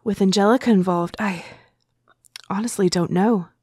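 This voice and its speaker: A woman's voice: medium pitch, moderate pace, darkish tone, breathy edge, no echo, studio quality, natural voice. A woman playing a remorseful, anxious young princess in a fantasy audio drama, earnest and restrained. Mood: guarded worry.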